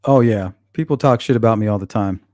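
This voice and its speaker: Low-voiced guy on a livestream, blunt and swears a lot, reads chat, dry close sound. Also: a little fast, darkish tone, dry recording. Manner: blunt and profane, talks back to chat. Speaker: channel host reading live chat.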